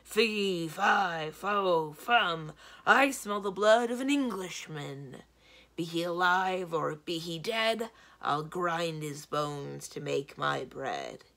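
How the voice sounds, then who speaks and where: A woman voicing a big, gruff, grumbling giant husband in a storybook, stubborn and booming. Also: lively intonation, takes it slowly, fairly bright tone, full character voice. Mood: menacing. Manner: stubborn, blustering.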